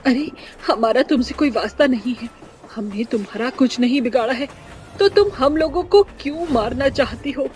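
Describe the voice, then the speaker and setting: A woman's voice: high-pitched, expressive, moderate pace, darkish tone. A woman voicing a frightened, anxious mother on a train in a cartoon, nervous and pleading. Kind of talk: Hindi cartoon voice acting.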